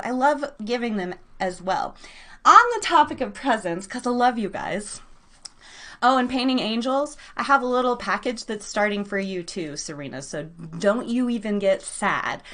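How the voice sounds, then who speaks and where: A woman's voice: a little high, fairly bright tone. An American woman hosting a live internet radio show in a casual, chatty, bubbly way, speaking affectionately to her listeners. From United States.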